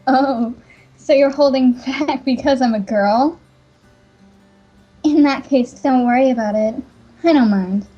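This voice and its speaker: A blunt, wary young princess played by a woman in a fantasy game dub. Her voice is high and rather husky, on a dull microphone. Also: measured pace, long pauses, dark tone. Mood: blunt, breezy. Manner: blunt, questioning. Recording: dull microphone, faint music behind.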